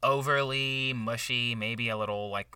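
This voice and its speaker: An actor playing an excitable, privileged young man co-hosting an amateur book podcast, rambling and self-satisfied in casual improvised comedy. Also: mid-range voice, unhurried, clear, resonant tone, no echo, studio quality, recorded from a distance. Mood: earnest, chatty.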